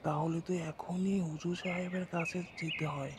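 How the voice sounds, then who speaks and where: An excitable village man, voiced by an actor chats in alarm in a cartoon, pitched a bit high, with clear birdsong and noise behind. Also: moderate pace, lightly acted.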